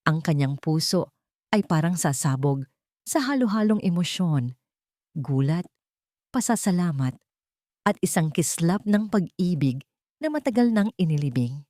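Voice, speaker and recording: A woman's voice: on the low side, sing-song, a little slow, pauses often, mellow, no room sound, studio quality. A woman reading a scripted romance story as narrator, in a solemn, emotive dramatic style.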